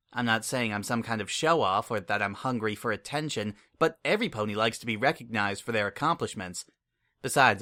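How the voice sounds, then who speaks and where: A reader giving a dramatic first-person reading of fiction, measured and reflective. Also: medium pitch, fairly bright tone. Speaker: audiobook reader.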